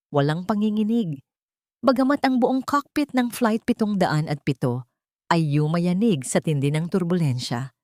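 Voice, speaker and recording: A woman with a Filipino accent narrating a scripted story in a dramatic, measured way. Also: low voice, darkish tone, no echo, studio quality. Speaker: audiobook narrator.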